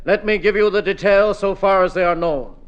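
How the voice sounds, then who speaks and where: An actor playing a grandiose, declamatory American news correspondent reporting dramatically in a radio comedy. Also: male voice, high-pitched, a little fast, clean tone, natural voice. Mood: grandiose excitement. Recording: dull, band-limited radio sound, music.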